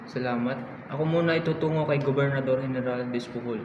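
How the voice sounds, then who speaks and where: A man's voice: medium pitch, steady tone, fast talker, slight background noise, close to an everyday voice. A young man with a Filipino accent acting an earnest, polite doctor in a student radio drama. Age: young adult. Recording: echoey hall, muffled, music behind.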